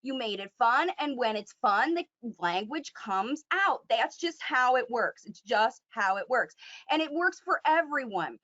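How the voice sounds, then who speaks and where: A woman's voice: on the high side, lively intonation, a little slow, fairly bright tone, lo-fi sound. A woman acting as a parent coach on a call, talking in an emphatic, encouraging way and often checking in with "right?". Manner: emphatic, encouraging, frequent "right?" tag questions.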